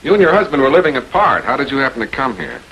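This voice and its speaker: An actor playing a calm, persistent public prosecutor in a 1940s filmed crime drama, questioning people in a measured, authoritative way. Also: male voice, medium pitch, muffled recording. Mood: probing. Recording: noisy old 1940s film soundtrack.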